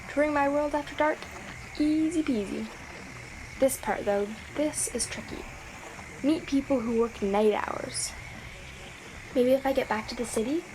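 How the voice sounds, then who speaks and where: A woman's voice: fairly high pitch, lightly acted. A woman playing a plucky young scout girl who chats to herself in an audio drama. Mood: breezy, confident. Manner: chatty, thinking aloud. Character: plucky young scout girl working through her badges. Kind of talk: radio drama monologue. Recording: clearly noisy, ambient background noise.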